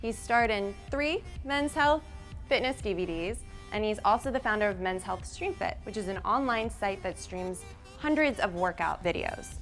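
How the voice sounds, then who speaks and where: A woman hosts a televised competition in an upbeat, announcing voice. Her pitch sits in an ordinary middle range. She builds suspense as she reads her scripted lines, with faint music playing behind her. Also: expressive, moderate pace, a bit bright. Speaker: competition show host. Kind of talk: scripted TV hosting.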